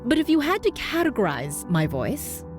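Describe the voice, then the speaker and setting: A woman's voice: wildly swooping pitch, moderate pace, music in the background. Upbeat Canadian woman with a neutral accent. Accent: neutral North American. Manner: upbeat, encouraging, with big jumps in pitch for emphasis. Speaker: podcast host and voice coach. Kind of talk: scripted podcast monologue.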